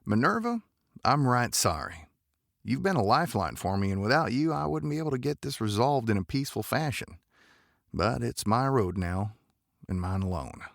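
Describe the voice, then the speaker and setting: A man playing a gravelly, courtly space cowboy. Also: medium pitch, very animated, moderate pace, no echo, clean studio sound. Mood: solemn, tender. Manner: drawling folksy cowboy idiom, gentlemanly.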